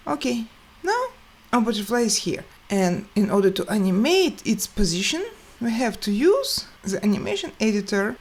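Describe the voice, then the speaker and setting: A woman hosting a step-by-step software tutorial, speaking in short, halting phrases with pauses. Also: mid-range voice, creaky. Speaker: tutorial host.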